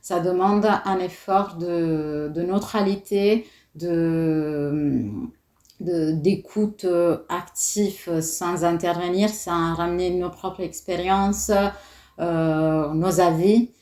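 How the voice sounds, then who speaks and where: A woman in her thirties from Italy with an Italian accent, a doula guest talking casually in an interview, often pausing with fillers. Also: on the low side, steady tone, warm tone, echoey room. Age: 30s. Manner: hesitant, many fillers.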